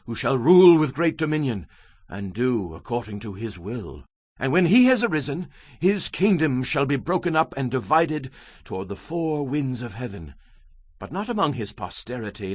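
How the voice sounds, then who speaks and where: A man's voice: mid-range voice, husky, no echo. A man giving a solemn, declamatory dramatized reading of scripture as a Bible narrator.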